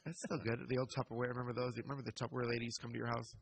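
A man's voice: mid-range voice, little pitch movement, rapid-fire, gravelly, background noise. A man co-hosting a podcast in casual chat, joking and laughing often, a bit congested and nasally. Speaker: podcast co-host.

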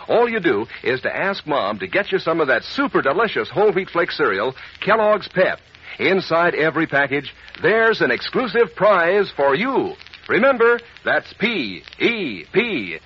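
Chummy 40s radio ad pitch, bouncy husky voice, eager n folksy, muffled old sound. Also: male voice. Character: Dan, friendly upbeat boy pitching cereal to his pals. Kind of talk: 1940s radio advertising skit. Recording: muffled 1940s radio recording.

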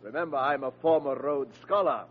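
An actor playing a pompous freeloading uncle who poses as a scholar, with grandiose, formal, condescending delivery in a 1940s radio sitcom. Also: male voice, mid-range voice, normal speed, natural voice. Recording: muffled old radio broadcast with hum.

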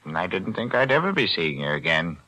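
An actor playing a shrewd, greedy older pawnbroker in a 1940s radio drama, smug and coolly bargaining. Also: male voice, low-pitched, sing-song, a little fast, sharp tone, heavily acted, low-quality recording. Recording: vintage 1940s radio broadcast.

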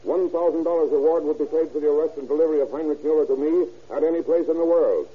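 A gruff, folksy lawman reading out a wanted notice in an old radio drama, with a very raspy, slightly high voice. The recording is thin and tinny. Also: fast. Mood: stern. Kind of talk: 1930s radio drama acting.

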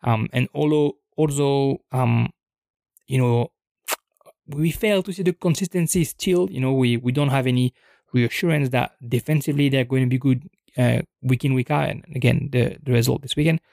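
A young man talks football in an unscripted podcast, pausing often with ums and restarts as he thinks aloud. His voice has a gravelly, creaky edge at a middle pitch, recorded dry and close with no room sound. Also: a little mellow, no echo. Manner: hesitant, many ums and restarts, thoughtful.

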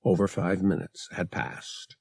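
A male audiobook narrator tells an adventure story in a low, husky voice. He takes his time and swings widely in pitch for drama, with a dry, close sound. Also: flat delivery, a little slow, a little mellow, no room sound. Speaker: audiobook reader.

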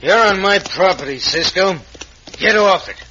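An actor playing a surly, scheming Old West villain with an American accent in an old radio western. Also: male voice, high voice, expressive, moderate pace, pushed and bright, a little hiss or hum. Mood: threatening. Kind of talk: 1950s radio western acting. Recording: echoey, dull old radio recording.

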